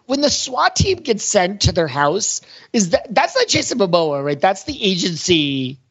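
A man's voice: high-pitched, big pitch swings, unhurried, a bit bright, breathy edge. A slightly muffled, lo-fi recording of a man co-hosting a podcast. He talks casually and analytically, working through his ideas aloud as he goes. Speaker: podcast co-host. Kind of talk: casual pop culture discussion. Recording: slightly dull, lo-fi audio.

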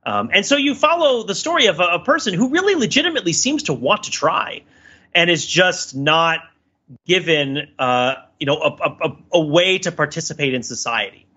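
A man speaking as a podcast panelist in casual, analytical discussion, animated and emphatic as he rambles through his points. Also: a little high, moderate pace, slightly rough, dull audio.

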